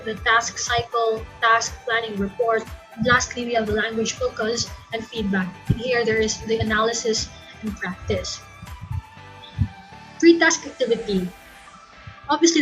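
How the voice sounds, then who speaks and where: A young Filipino woman whose first language is Tagalog delivers a recorded class lecture. She pitches her voice a little high and explains patiently, often stopping to rephrase or correct herself. Soft music plays faintly underneath. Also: poor audio. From Philippines. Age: young adult. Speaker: student presenter.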